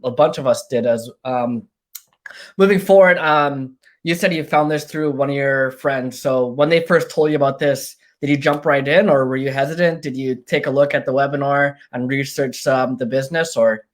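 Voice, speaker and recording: A man's voice: mid-range voice, steady tone, normal speed. An upbeat man hosts a casual interview. He speaks in an encouraging way, with plenty of fillers between thoughts. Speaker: interview host.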